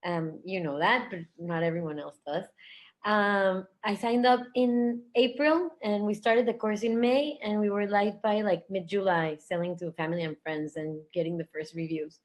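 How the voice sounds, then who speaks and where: A woman's voice: mid-range voice, normal speed. A woman guest on a call answers questions in an upbeat, casual way. She uses fillers freely and sounds at ease. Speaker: guest seller. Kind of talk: interview answers.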